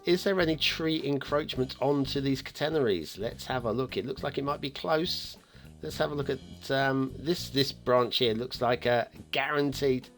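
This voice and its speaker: A man's voice: medium pitch, fairly bright tone. A man hosting a game map review in a chatty, playfully teasing way, commenting casually and off the cuff.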